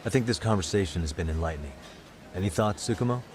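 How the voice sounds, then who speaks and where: A calm detective in a game cutscene. His voice is low and expressive, polite yet direct as he probes, with music clearly audible underneath. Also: fast. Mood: easygoing. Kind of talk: video game cutscene acting. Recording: background music under the dialogue.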